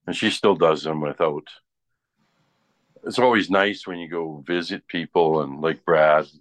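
Casual male talker for a chat show: low voice, dry sound, rambling anecdotal delivery. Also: steady tone, unhurried, dry recording. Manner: rambling, often tags sentences with "right?".

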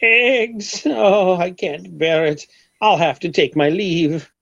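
A man's voice: high voice, takes it slowly, gravelly, fairly bright tone, no room sound, heavily acted. An actor playing a haughty, wealthy eccentric man in an old radio comedy, theatrical and easily affronted. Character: wealthy, eccentric, huffy male egg expert. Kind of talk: 1940s radio comedy acting. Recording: dull old radio recording.

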